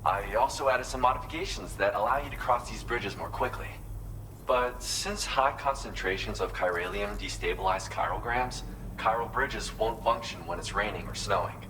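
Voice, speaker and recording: A wistful architect in a video game, with a fairly low voice. He explains things earnestly, like a proud craftsman whose art is fading. Clear noise sits behind the voice. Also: moderate pace, bright, forceful tone, rough recording. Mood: informative, friendly. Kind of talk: video game cutscene dialogue. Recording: clear background noise under the voice.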